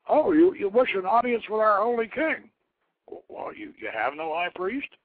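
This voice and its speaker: A man voicing a polite, fussy city magistrate in a story, deferential and halting, repeating his words. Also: sits high, very animated, husky, no echo, voice pushed up, full character voice. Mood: fussy, surprised. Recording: thin phone-like internet radio line.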